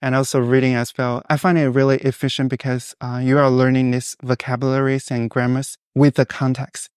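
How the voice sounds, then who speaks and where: A young man speaks in a smooth, clear voice that stays flat and even in pitch. He answers interview questions thoughtfully, with many small pauses and fillers. The sound is dry and close. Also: no room sound. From China. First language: Mandarin Chinese. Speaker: guest.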